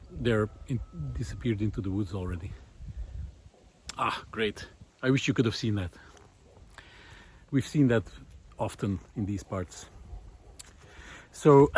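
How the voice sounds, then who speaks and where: A man's voice: lowish voice, measured pace, long pauses, a little mellow, slight background noise. Musing man thinking aloud on the trail. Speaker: host of a recorded monologue series. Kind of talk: casual unscripted philosophical monologue. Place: outdoors on a woodland trail.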